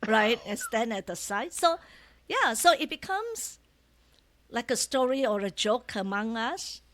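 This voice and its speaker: A Malaysian woman with a Malaysian accent, whose first language is Malay, telling stories as an interview guest in a lively, chatty way, often adding tag questions. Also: fairly high pitch, a little slow. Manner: animated, often ends phrases with tag questions. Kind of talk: casual storytelling interview.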